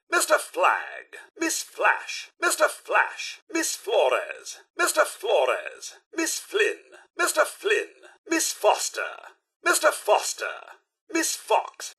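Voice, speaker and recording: An actor playing a polite, fussy robot butler in a video game, addressing people formally in short clipped phrases. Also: male voice, extremely high pitch, pushed and bright, heavily acted. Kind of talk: video game character voice lines, names read one by one.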